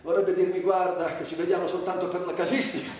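An actor playing a bitter, sardonic, foul-mouthed sick man in a volatile theatrical monologue, with drawn-out words and breathy outbursts. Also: high voice, level tone, a little mellow, lightly acted. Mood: bitter mockery. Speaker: stage actor performing a monologue. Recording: echoey hall, distant mic, faint noise.

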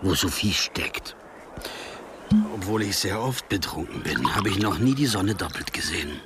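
An actor playing a hard-drinking, uneasy traveller with a wry, brooding manner in a German radio play. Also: male voice, low-pitched, lively intonation, normal speed, background noise.

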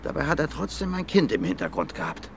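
Rough fifty-something suspect, blunt, repetitive, animated pitch, radio crime drama, faint street noise. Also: male voice, quick pace. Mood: blunt, matter-of-fact. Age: 50s. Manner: blunt, plain-spoken, repeating himself. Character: gruff, blunt, self-confessed violent brawler in his fifties. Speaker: suspect being questioned.

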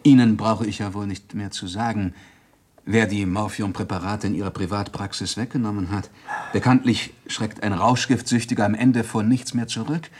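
An actor playing a shrewd, methodical police inspector in a 1960s German radio crime drama, questioning formally and insistently. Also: male voice, on the low side, a little mellow, natural voice.

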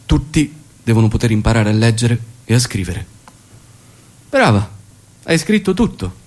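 An actor playing an earnest, reserved guerrilla leader turned minister, speaking in a measured, didactic stage manner with music behind him. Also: male voice, medium pitch, big pitch swings, darkish tone, lightly acted. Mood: earnest, businesslike. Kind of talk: Italian stage drama acting.

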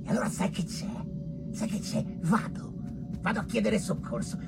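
Casting: vain, panicky young male doctor for radio drama; high voice, wildly swinging delivery. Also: animated, normal speed, creaky, loud music behind the voice, exaggerated voice. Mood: flustered haste. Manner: blustering, repetitive, frantic. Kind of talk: theatrical radio play acting.

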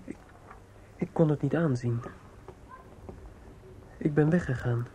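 A man's voice: on the high side, takes it slowly, dark tone. A male actor playing a polite, earnest young man and dutiful son in a radio play, hesitant and apologetic. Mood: troubled, polite. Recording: noisy old radio play recording.

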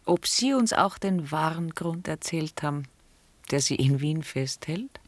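An actress playing a weary, reflective middle-aged married woman in a German radio drama, with a breathy, hushed and grave delivery. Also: low-pitched, lively intonation, unhurried, dry recording. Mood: troubled. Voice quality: husky, breathy. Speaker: actor.

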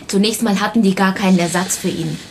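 A pert, sharp-witted female assistant to a detective played by an actress, with a medium-pitched voice. She talks colloquially and expressively in a radio crime play, with faint ambience behind her. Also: steady tone, fast talker, a little hiss or hum, lightly acted. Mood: gossipy, exasperated. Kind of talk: German radio crime drama acting.

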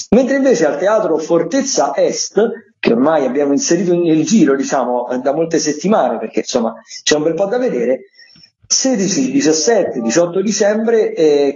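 Male radio host, fairly high relaxed voice, presenting arts listings in a halting, semi-improvised way. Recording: dull lo-fi radio sound.

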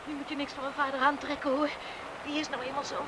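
A woman's voice: high voice, level tone, fast talker, natural voice. A woman acting an earnest young farmer's daughter in a radio drama, pleading and confiding in manner. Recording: distant, faintly noisy radio drama recording.

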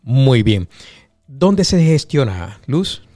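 Male morning-show host, courteous, keeps the guest on track, with soft music under him. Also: mid-range voice, sing-song, very slow. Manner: polite, asks clarifying questions. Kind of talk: interviewing a guest.

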